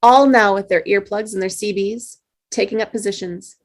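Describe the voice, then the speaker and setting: A woman's voice: medium pitch, moderate pace. Woman reading screenplay directions aloud. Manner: steady reading with small slips and restarts. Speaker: narrator reading stage directions. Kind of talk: table read of a screenplay.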